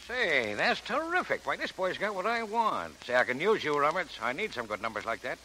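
Brash, animated show producer. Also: male voice, on the high side, brisk, soft background music, poor audio. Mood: brisk, eager. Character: brash, scheming, excitable male Broadway show producer. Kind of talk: 1930s radio acting. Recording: hissy 1930s radio broadcast.

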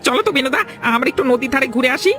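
Woman putting on a quick, high-pitched goading villager. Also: expressive, fairly bright tone, soft background music. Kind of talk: cartoon character acting.

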